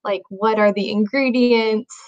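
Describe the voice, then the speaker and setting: A woman's voice: medium pitch, steady tone, unhurried. A young adult woman, a guest who teaches sign language, talking casually and rambling with lots of "like" and restarts. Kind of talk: casual conversation. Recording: dull lo-fi audio.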